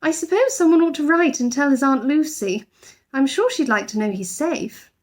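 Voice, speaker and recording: A kindly middle-aged mother from a storybook, acted out by a woman reader. She is earnest and persuasive, stressing her words with highly animated swings of pitch. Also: normal speed. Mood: thoughtful.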